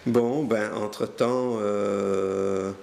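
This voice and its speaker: An older man recounting his family's history in a hesitant, halting way, with many fillers and restarts. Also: lowish voice, fairly even intonation, gravelly, a little mellow, a little hiss or hum. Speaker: interviewee telling family history. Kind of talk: hesitant spoken recollection.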